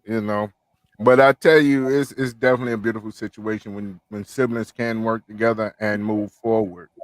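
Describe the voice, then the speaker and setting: A man's voice: fairly low pitch, fairly even intonation, normal speed, dry recording. A man hosting a casual podcast interview, talking loosely with lots of "you know what I'm saying" fillers. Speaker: podcast host.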